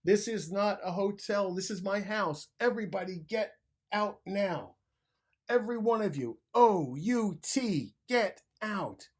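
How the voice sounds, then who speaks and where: A man voicing a big, grouchy male bear who growls out loud complaints in a storybook reading. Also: on the high side, animated, measured pace, husky, heavily acted. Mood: furious.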